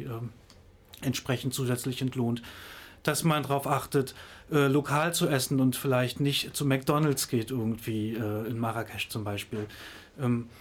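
Hesitant middle-aged man explaining. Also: medium pitch. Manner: many filler sounds and restarts. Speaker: interviewee.

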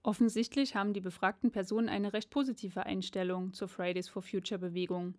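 A woman hosts a radio programme, speaking at a medium pitch. She explains the background and links the recorded pieces for listeners. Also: fairly even intonation, brisk, darkish tone. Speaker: host presenting a student radio segment. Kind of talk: presenting and linking audio segments.

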